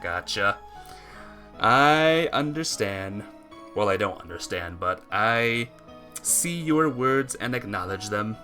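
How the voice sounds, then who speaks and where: A man's voice: fairly low pitch, expressive, slow pace, sharp tone, faint music behind. A man casually chatting and reading while playing a visual novel, joking and rambling in a self-mocking way. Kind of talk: casual let's-play chat and reading aloud.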